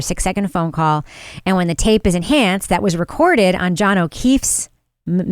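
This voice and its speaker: A woman hosting a casual true-crime podcast chat, animated and quick to agree, laughing often. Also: lowish voice, smooth, dry recording, clean studio sound. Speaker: podcast host.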